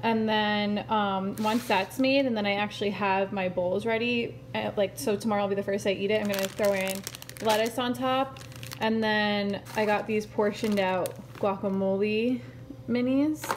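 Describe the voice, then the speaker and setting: A woman's voice: mid-range voice, fairly even intonation, normal speed, a little hiss or hum. A young woman in her twenties chatting casually to a phone camera held at arm's length in a kitchen, with lots of "like" and fillers. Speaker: vlogger. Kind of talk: casual vlog chat. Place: kitchen of a family home.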